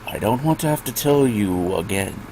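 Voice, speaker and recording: A man voicing a stern, weary pony father with a curt, dismissive deadpan in a story reading. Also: on the low side, normal speed, a little hiss or hum, rough recording.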